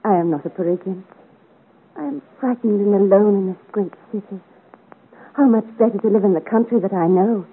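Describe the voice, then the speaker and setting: A woman's voice: mid-range voice, brisk, husky, narrow, tinny audio. An actress playing a proud, devoted young noblewoman in a 1950s radio melodrama, speaking earnestly in formal, theatrical period style. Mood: wistful. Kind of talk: 1950 radio serial romantic melodrama acting. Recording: thin, noisy old 1950s radio recording.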